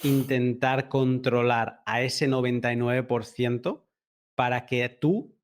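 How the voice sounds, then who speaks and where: A man's voice: mid-range voice, fairly even intonation, takes it slowly, a little hiss or hum. A man hosting a conversational podcast interview, thinking aloud in a reflective way.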